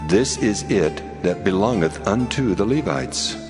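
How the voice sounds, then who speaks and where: A man's voice: lowish voice, lively intonation, a little slow. A man playing God, grave and authoritative, in a dramatized scripture reading. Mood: grave, commanding. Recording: music under the voice.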